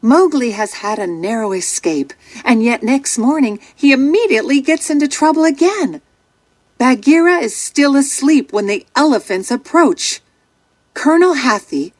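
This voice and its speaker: A woman narrating a children's storybook from a script in an expressive, dramatic storytelling manner. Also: on the high side, dry recording.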